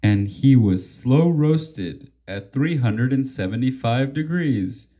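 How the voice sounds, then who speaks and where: Very slow, expressive man reading aloud. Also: medium pitch, dull audio. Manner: theatrical, deliberate. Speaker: storybook reader. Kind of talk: expressive children's read-aloud.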